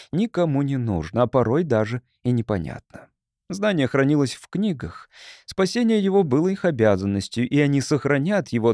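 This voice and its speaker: A man's voice: medium pitch, darkish tone, no room sound, clean studio sound. A man reading literary fiction as a scripted audiobook narration.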